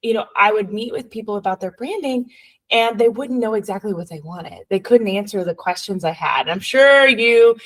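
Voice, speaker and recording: A woman's voice: medium pitch, normal speed. A woman with an English accent hosting a podcast, upbeat and chatty as she introduces and questions her guest. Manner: upbeat, chatty, with many fillers and restarts. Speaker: podcast host. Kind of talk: podcast interview.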